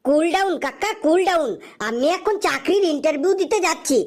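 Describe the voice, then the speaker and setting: Woman acting smart-mouthed young jobless man, high cartoon pitch, teasing, glib, comic cartoon dialogue. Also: animated, brisk. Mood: cocky. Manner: glib, teasing back-talk.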